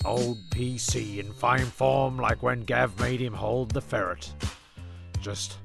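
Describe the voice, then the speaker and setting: A very creaky-voiced actor as a male storyteller narrates a comic radio play. He is hesitant and deadpan, bumbling and irritable, with music playing clearly underneath him. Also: mid-range voice, takes it slowly. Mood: dry. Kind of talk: rambling, sweary comic narration.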